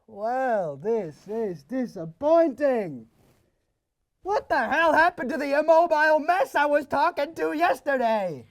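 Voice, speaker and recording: A woman's voice: medium pitch, darkish tone, no echo. Woman playing a theatrical, swooping, taunting inner critic. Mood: dismayed. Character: mocking inner critic spirit of self-doubt, needy and theatrical. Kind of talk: surreal audio drama acting.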